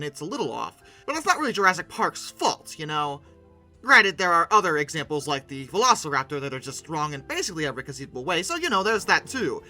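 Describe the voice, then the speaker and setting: A man's voice: high voice, bright, forceful tone. A man hosting a conversational video essay with playful, theatrical emphasis. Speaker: video host, game reviewer.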